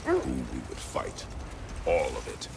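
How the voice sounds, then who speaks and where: A stern, weary god of war in a video game. His voice is extremely deep and thick with gravel. He talks in clipped, gruff commands, and loud ambience sits behind him. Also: wildly swooping pitch, unhurried, very noisy, exaggerated voice. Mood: grim, guarded. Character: stern, weary warrior god, a brooding father. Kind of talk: dramatic video game acting.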